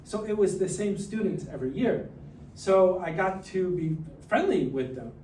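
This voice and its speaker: A man in his forties from Hawaii, giving a slow, careful self-introduction over slides and pausing often as he explains. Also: high-pitched, animated, a little mellow, a little hiss or hum. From Hawaii, raised partly in New Jersey. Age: 40s. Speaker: presenter introducing himself to a class. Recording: echoey room, voice a bit far from the mic, faint music.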